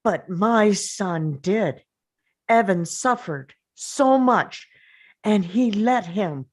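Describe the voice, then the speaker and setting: A woman's voice: medium pitch, lively intonation, drawn-out words. A woman playing a grieving, angry father in a dramatic script read, confrontational and emphatic. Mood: anguished, furious. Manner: confrontational, emphatic, pressing with questions.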